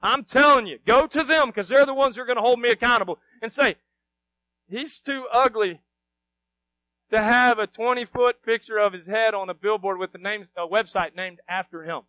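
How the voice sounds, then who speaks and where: A man's voice: high voice, moderate pace, rough recording. A middle-aged man, preaching a sermon to his congregation, full of rhetorical questions and sudden emphatic bursts. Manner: shifts between quiet asides and loud emphatic bursts. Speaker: pastor.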